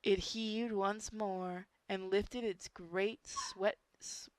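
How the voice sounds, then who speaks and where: A woman's voice: mid-range voice, fairly even intonation, very slow, dry recording. A woman reading a horror story aloud as an amateur, informal, stumbling over words and breaking off into casual asides. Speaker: story reader.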